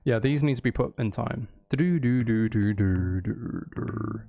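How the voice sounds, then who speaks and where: A man chatting casually on a livestream as he makes music, excitable and apologetic, with exclamations and nonsense syllables for riffs. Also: unhurried, vocal fry. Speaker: streamer making music. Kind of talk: casual livestream chat while composing music. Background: bass guitar and music playing.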